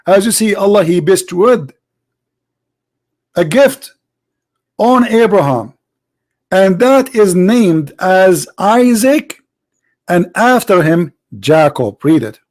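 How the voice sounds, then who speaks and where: High-voiced man debating forcefully. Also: lively intonation, slow, long pauses. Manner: forceful, argumentative, repeats words. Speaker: debate host. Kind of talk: heated debate.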